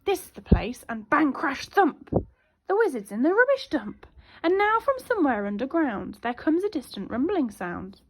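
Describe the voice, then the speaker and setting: A woman teacher reading a children's storybook aloud in an expressive, child-directed way. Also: high-pitched, moderate pace, a little hiss or hum, low-quality recording. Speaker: primary school teacher.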